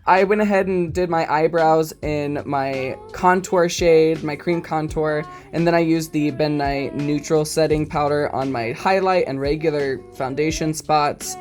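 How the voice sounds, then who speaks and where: A man in his twenties, a drag queen, talking through his makeup routine in a casual, self-mocking way, with lots of ums and quick giggles. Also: a little high, a little mellow. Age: 20s. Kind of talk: casual makeup tutorial commentary.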